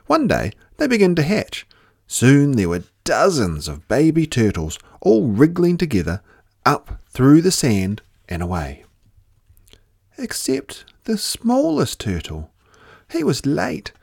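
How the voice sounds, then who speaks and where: A man reading a children's story aloud with expressive delivery and drawn-out, dramatic emphasis. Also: fairly high pitch, vocal fry, dry recording, clean studio sound.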